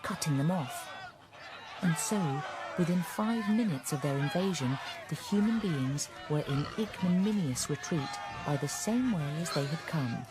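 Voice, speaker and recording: A man as a measured storyteller, narrating an animal fable in a scripted radio drama. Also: a little high, expressive, background noise.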